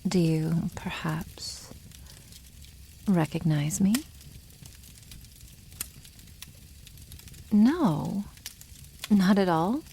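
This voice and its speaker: A woman voicing a haughty, possessive female dragon in an ASMR roleplay, teasing and condescending, often dropping toward a breathy whisper. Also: on the low side, warm tone, slight background noise, exaggerated voice. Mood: coy, probing.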